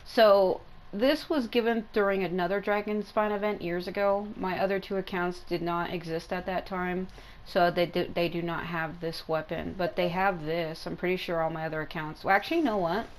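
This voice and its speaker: A woman with a congested, mucusy throat doing casual, sweary game commentary, thinking out loud. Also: on the low side, steady tone, moderate pace, a little hiss or hum, rough recording. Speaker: gaming video host. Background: music.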